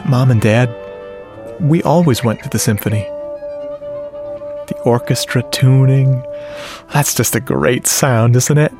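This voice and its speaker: A man's voice: mid-range voice, a little slow, pauses often, close to an everyday voice. Man playing a lovestruck geeky radio-drama narrator, music underneath. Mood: eager, reflective. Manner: confiding, earnest, dramatic asides. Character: lovestruck, self-deprecating, geeky male sound-effects artist. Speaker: narrator and lead character. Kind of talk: first-person radio drama narration, conversational.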